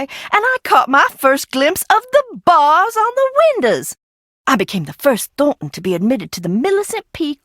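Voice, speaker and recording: An actress playing an eccentric, mischievous and bitter heiress in a video game, theatrical and sardonic, swinging between singsong teasing and hushed menace. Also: female voice, fairly high pitch, dry recording, clean studio sound. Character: eccentric, bitter, mischievous Southern heiress, secretive asylum graduate. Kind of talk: video game character acting.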